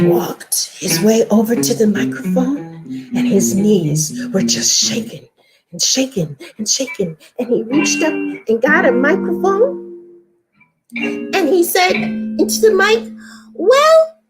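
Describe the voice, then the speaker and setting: A woman's voice: a little high, poor audio. A woman telling a children's story in a dramatic manner, repeating words for effect.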